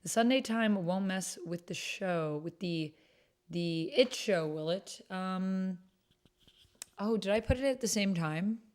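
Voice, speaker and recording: A woman's voice: medium pitch, animated, takes it slowly, darkish tone. A woman hosting a livestream, chatting casually and informally with her viewers. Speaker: livestream host.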